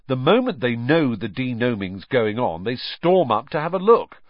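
A man's voice: medium pitch, sing-song, moderate pace, dry recording, highs cut off. A man voicing breezy, eager teenage brothers in a cheeky, matter-of-fact way.

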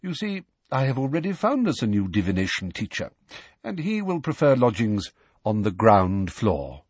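A man voicing an old wizard headmaster, deep-voiced, pleasant and courteous in measured speech. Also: wildly swooping pitch, slightly rough, no room sound, exaggerated voice. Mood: calm.

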